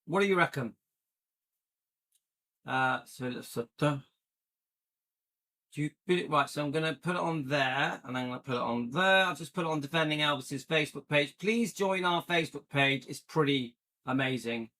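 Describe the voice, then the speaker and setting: A man's voice: moderate pace, pushed and bright. A middle-aged man, hosting a casual live stream and chatting with viewers, rambling with a playful edge. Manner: chatty and rambling, jumps into a higher playful pitch when joking. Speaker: YouTube channel host.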